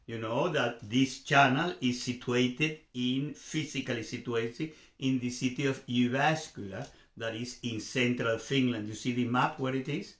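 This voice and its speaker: A man's voice: medium pitch, a little slow, hardly any pauses, fairly bright tone, reverberant. A man from Venezuela with a Venezuelan accent and native Spanish gives an informal grammar lesson as a teacher, repeating examples and checking understanding with questions. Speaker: language teacher and host.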